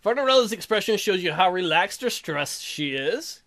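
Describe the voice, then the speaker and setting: A man's voice: high-pitched, expressive, normal speed, a bit bright. A man hosting a let's play, reading game text aloud and tossing in irreverent, jokey ad-libs.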